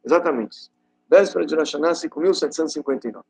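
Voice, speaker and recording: Husky man telling stories. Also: a little high, darkish tone. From Rio de Janeiro, Brazil. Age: middle-aged. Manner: conversational, halting storytelling with rhetorical questions. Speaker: rabbi giving a class. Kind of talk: informal religious talk with personal anecdotes.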